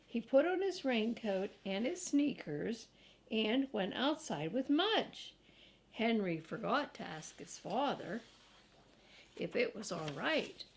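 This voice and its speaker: Older woman, storybook read-aloud, lively swooping intonation, slow pace, grandmotherly, chatty with young listeners. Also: a little high, creaky. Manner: expressive, emphasizes and draws out words for children. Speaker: grandmother reading a children's book aloud.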